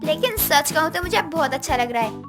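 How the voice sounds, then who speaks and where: High, girlish young bride played by a woman. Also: lively intonation, a little fast. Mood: happy. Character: coy, playful young bride. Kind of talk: Hindi story cartoon dialogue. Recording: music under voice.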